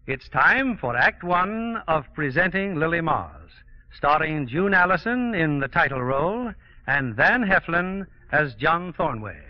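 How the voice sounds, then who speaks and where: A man's voice: fairly high pitch, very animated, measured pace. A man reading scripted narration as a 1940s radio announcer, polished and wry. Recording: dull 1940s radio recording over music.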